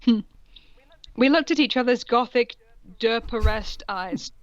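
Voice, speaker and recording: A woman's voice: on the high side, unhurried, dry recording, dull audio. A woman co-hosting a podcast, reading fan fiction aloud in a mock-dramatic way and breaking off for amused asides. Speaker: podcast co-host.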